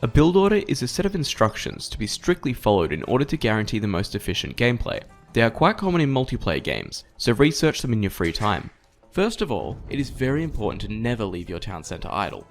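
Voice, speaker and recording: A man's voice: fairly low pitch, very animated, fast talker, soft background music. A man narrating a scripted game tutorial in a precise, explanatory, directive way.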